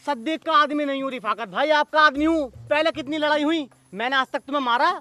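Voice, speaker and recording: A man's voice: very high voice, fast talker, sharp tone, no echo. A man playing a hot-headed, boastful village henchman in a comedy sketch. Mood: pleading.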